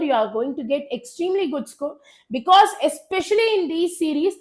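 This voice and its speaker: High-pitched South Indian woman teacher, hoarse. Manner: urging, repeats phrases for emphasis. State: hoarse from a cold. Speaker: chemistry teacher. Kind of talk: live online exam revision class.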